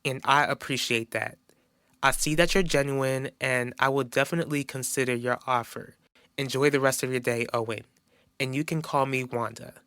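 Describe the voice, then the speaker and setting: A man voicing a courteous, gracious woman in an animated soap opera. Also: mid-range voice, normal speed, clear, resonant tone, no echo, clean studio sound. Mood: grateful. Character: courteous, appreciative married woman.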